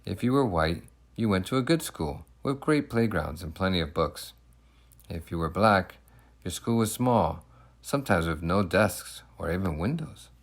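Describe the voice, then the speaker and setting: A man with a low voice narrates a children's picture book. He speaks in the first person with emphatic, deliberate phrasing, and his intonation moves up and down in a very lively way. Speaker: storybook reader.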